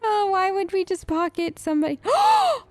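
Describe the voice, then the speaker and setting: High-pitched, playful woman gamer. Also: moderate pace, dry recording. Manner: playful, expressive, muttering to herself and the characters. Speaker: gaming video host. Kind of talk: role-play let's play commentary, casual chat. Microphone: close dry voice-over microphone.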